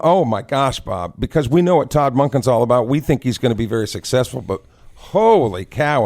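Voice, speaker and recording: A man co-hosting casual sports talk radio, animated and given to folksy exclamations. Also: mid-range voice.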